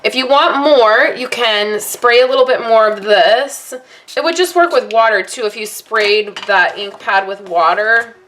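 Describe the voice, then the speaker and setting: A woman crafter with a fairly high, slightly hoarse voice talks through a card-making project in a casual, rambling way. She apologizes now and then, and she sounds like she is speaking at a home craft table under an overhead camera. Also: unhurried, fairly bright tone, a little hiss or hum. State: voice a little hoarse from allergies and little sleep. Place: home craft workspace, indoors.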